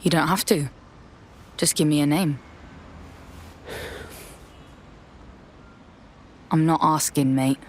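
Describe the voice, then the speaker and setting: Actress as a cocky British hacker rebel. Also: female voice, sits low, moderate pace, a little hiss or hum, close to an everyday voice. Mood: firm, assured. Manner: blunt, slangy, laid-back. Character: cocky, tough young female hacker rebel. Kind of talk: video game voice acting.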